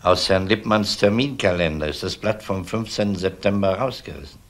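An actor playing a courteous, matter-of-fact police investigator questioning people in a crime radio play. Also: male voice, sits low, normal speed, a little hiss or hum, lightly acted. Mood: courteous, inquiring. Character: polite, probing male police investigator.

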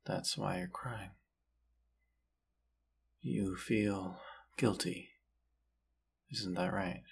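A man playing a gentle, forgiving vampire hunter and lover in an intimate audio roleplay, speaking tenderly with hesitant pauses. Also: deep voice, fairly even intonation, gravelly, slight background noise, exaggerated voice. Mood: subdued, consoling.

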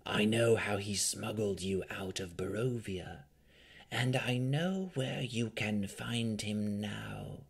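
Creepy tempting vampire ghost for a fantasy story, gravelly breathy whisper, drags every word out. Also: male voice, on the low side, full character voice. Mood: sinister, tempting. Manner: slow, drawn-out, ominous. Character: enigmatic tempting vampire spirit speaking in dreams.

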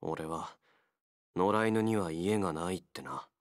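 An actor playing a wary, feral young man in a game, a gruff loner fighter with blunt, halting speech. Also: low voice, dry recording, studio quality. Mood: subdued, earnest. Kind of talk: Japanese game character voice acting.

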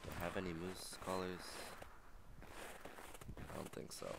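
Young male gaming host, low and slightly raspy, rambling casual delivery, static-laden home mic. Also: flat delivery, a little slow. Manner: rambling, filler-heavy, chatty. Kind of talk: casual let's play commentary. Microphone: old, static-prone headset mic.

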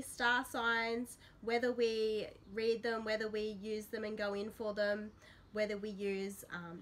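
A woman in her thirties, a little sick with a slightly croaky voice, chatting to camera in a small bedroom in a rambling way with frequent ums. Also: mid-range voice, slight background noise. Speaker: substitute host of a witchcraft vlog. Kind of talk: casual to-camera vlog talk. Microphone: built-in camera mic at close range.

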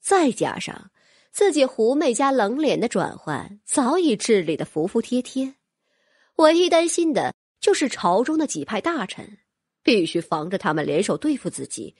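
A woman's voice: on the high side, sing-song, normal speed, darkish tone, breathy edge, no echo, studio quality. A woman reading a fantasy novel as scripted audiobook narration.

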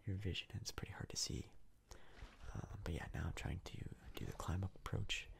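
Whispering man with a heavy creak. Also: sits low, steady tone. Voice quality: whispery, breathy, gravelly. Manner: hushed, casual, unhurried rambling. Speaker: gaming video host. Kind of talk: soft-spoken ASMR game commentary.

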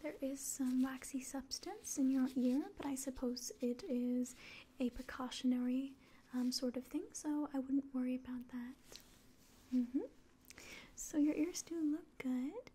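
Slow, whispering young woman, very soft. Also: sits high, breathy edge. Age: young adult. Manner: gentle, hesitant, unhurried. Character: polite, clinical alien examiner. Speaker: ASMR performer. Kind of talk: ASMR roleplay of a medical exam. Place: small room indoors. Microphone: close to the mic.

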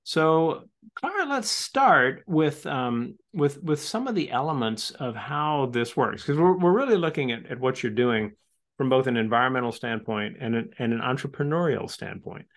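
A man's voice: mid-range voice, animated, moderate pace. A man in his fifties hosting an online club meeting, friendly and upbeat, often repeating words. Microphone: video call.

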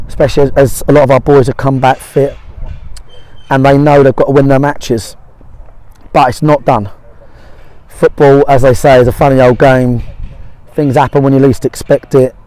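Blunt, matey football manager. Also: male voice, medium pitch, a little mellow, rough recording. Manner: blunt, matey, repeats short phrases for emphasis. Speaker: football manager giving a post-match interview. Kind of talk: casual post-match interview talk. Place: outdoors on an empty football pitch by a small stand.

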